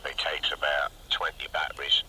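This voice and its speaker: Very deep, sharp man on radio. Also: monotone, normal speed. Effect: two-way radio. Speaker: CB radio operator. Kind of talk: casual radio chat. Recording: slightly noisy CB radio reception.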